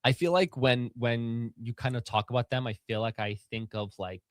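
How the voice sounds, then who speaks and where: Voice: mid-range voice, steady tone, normal speed, no room sound, studio quality. A podcast co-host chatting casually and partly reading from notes, in an informal, playful way.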